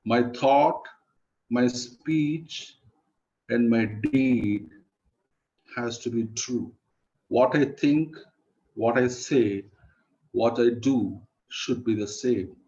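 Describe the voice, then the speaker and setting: A man reciting poetry solemnly and emphatically in short, halting phrases, over online call audio. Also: medium pitch, dark tone, dull audio. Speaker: poet reciting at an online event.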